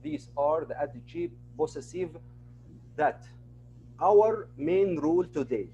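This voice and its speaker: A male teacher leads an online class through a computer mic. His voice sits a little high, and he speaks at a very unhurried pace. He patiently repeats each word and example for his students. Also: expressive, warm tone, muffled recording, a little hiss or hum.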